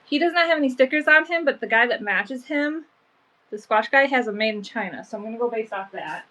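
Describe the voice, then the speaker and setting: A woman's voice: on the high side, expressive, brisk, pushed and bright. A woman with a sniffly, allergy-stuffed voice hosting a casual live sale from home, chatting along with lots of "um" and "like.". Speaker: online vintage seller.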